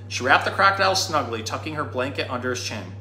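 Guy in his 30s doing storytime, bright voice, dramatic, roomy sound w a bit of noise. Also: medium pitch, fast. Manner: animated, dramatic reading. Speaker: zookeeper reading a storybook. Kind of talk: expressive children's storytime read-aloud. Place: indoor room.

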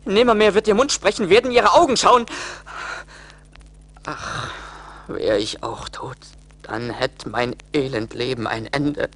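An actor playing an emotional, plaintive young charcoal burner full of remorse in a radio play. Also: male voice, a little high, unhurried, a bit bright. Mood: anguished remorse. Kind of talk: theatrical radio play acting.

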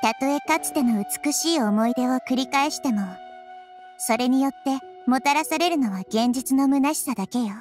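A woman voicing a small, wise and curious girl deity in an anime game, speaking gently and thoughtfully. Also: high-pitched, faint music behind, lightly acted. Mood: thoughtful, serious. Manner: gentle, thoughtful, measured feminine speech. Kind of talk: Japanese anime game voice acting.

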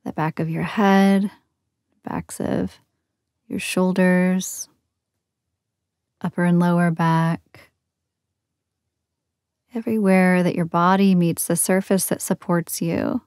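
Lady doing a guided body scan relaxation, super slow n soothing, close dry sound, lots of pauses. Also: on the low side, steady tone, no room sound. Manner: soothing, gentle, unhurried with long pauses. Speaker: meditation guide. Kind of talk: guided yoga nidra meditation.

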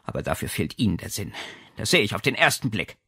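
A man's voice: on the low side, big pitch swings, fast talker, pushed and bright, husky, no echo, clean studio sound, full character voice. A man voicing a haughty, imperious lady with a condescending, commanding way of speaking in an audiobook. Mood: scornful. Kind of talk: audiobook character dialogue.

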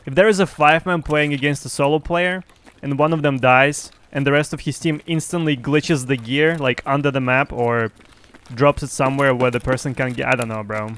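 A young man whose first language is Russian, giving excited, boastful live commentary on his game to his chat through a headset. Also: medium pitch, normal speed, smooth. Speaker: streamer.

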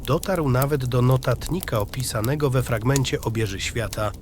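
A man's voice: medium pitch, normal speed, lightly acted. A man as a storyteller over noisy ambience. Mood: confiding. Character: in-world male storyteller addressing his reader. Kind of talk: scripted fantasy audio drama narration. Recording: clear background ambience noise.

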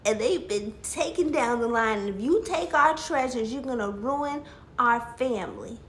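A woman's voice: on the high side, lively intonation, normal speed, fairly bright tone, a little hiss or hum. A woman voicing a stern, protective treasure guardian in an improvised story, commanding in manner.

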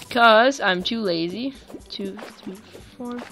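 A woman casually commentating her own gameplay for viewers, chatty and quick to get excited. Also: medium pitch, faint music behind. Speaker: gaming YouTuber. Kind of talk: let's play commentary.

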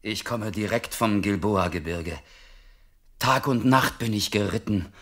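Earnest soldier messenger in a bible radio play, kinda low voice, declamatory. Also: male voice, unhurried, a bit bright. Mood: grave, urgent. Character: loyal, urgent soldier messenger from battlefield.

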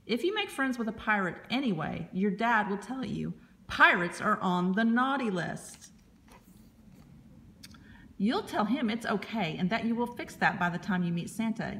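A woman reading a children's picture book aloud as a librarian, in expressive, deliberate narration. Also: medium pitch, slight background noise.

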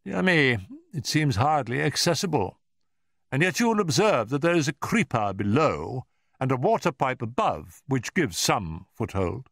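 An urbane, unflappable gentleman detective performed by a male narrator, wry and polite. The pitch sits above a natural male voice and moves expressively, as in a classic storybook reading. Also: very animated, normal speed, vocal fry, dry recording, clean studio sound, full character voice. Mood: brisk, analytical.

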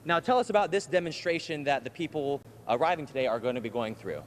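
A man's voice: fairly high pitch, lively intonation, fast talker. A young man reporting live in the field for TV from a large fire station garage, holding a handheld mic and exclaiming often. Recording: echoey garage with background noise.

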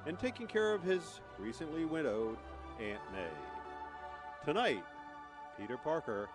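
A man acting as the dramatic narrator of a superhero radio play, with emphatic pauses. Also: lowish voice. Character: dramatic male storyteller introducing a superhero tale. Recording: music bed behind.

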